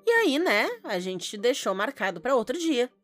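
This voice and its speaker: A woman's voice: a little high, big pitch swings, normal speed, a bit bright, faint music behind. A Brazilian woman co-hosting a podcast, chatting casually in a jokey, teasing way. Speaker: podcast co-host. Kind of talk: casual bantering chat.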